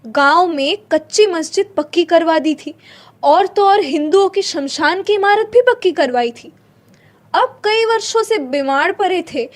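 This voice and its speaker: High-pitched woman narrating a story. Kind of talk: dramatic literary story narration. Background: faint music.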